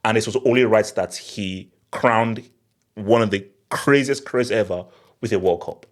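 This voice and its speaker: A man's voice: on the low side, normal speed. A man hosting a football channel, talking to the audience in an emphatic, theatrical way and repeating phrases for effect. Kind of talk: animated solo football commentary to camera.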